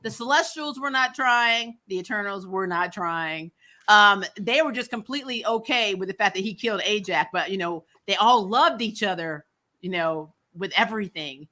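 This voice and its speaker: Woman podcast host, bright forceful voice, animated, sarcastic, sweary, casual film chat. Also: mid-range voice, normal speed. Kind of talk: casual movie review chat.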